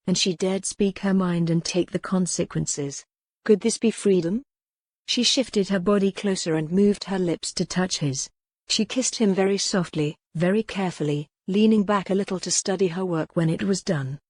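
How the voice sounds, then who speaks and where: A woman's voice: lowish voice, normal speed, darkish tone, no room sound. A woman reading scripted historical romance fiction as an audiobook narrator.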